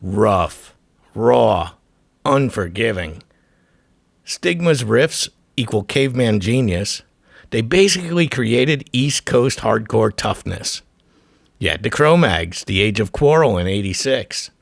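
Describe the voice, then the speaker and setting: A man's voice: lowish voice, animated, takes it slowly, vocal fry, dry recording, clean studio sound. A man narrating a scripted music documentary in short punchy phrases, with an irreverent, profane edge.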